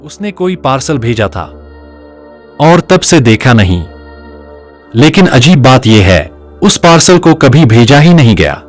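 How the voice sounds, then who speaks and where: A man voicing an emphatic village man in an animated horror story. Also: mid-range voice, measured pace, pauses often, soft background music. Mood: ominous.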